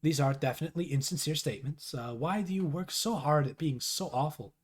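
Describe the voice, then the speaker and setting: A man's voice: a little high, a little fast, breathy edge. Man playing a haughty, bored alien troll woman. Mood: condescending, irritated.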